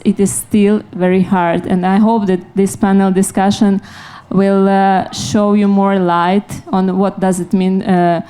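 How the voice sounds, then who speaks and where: A woman's voice: medium pitch, dark tone, smooth. A woman from Ukraine with a Ukrainian accent, speaking as an NGO representative on a panel. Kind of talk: prepared panel introduction.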